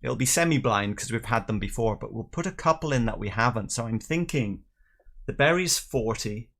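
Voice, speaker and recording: Middle aged guy doing a relaxed livestream, chatty n jokey, reading out the chat, normal pitch. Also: moderate pace. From Northern Ireland and England. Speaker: host of a live whisky tasting show. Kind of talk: casual livestream chat, reading out viewer comments.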